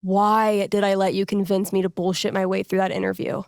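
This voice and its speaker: A woman's voice: medium pitch, level tone, brisk, creaky, darkish tone, no room sound, studio quality, close to an everyday voice. An actress playing a wry, playful young American woman in a video game, with casual radio DJ patter and a teasing way of talking. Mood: exasperated.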